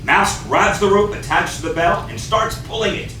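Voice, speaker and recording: A man's voice: high voice, moderate pace, bright, forceful tone. A middle-aged man reading stage directions as scripted narration for a play. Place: small theatre stage, far camera. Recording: distant, very echoey, noisy theatre recording.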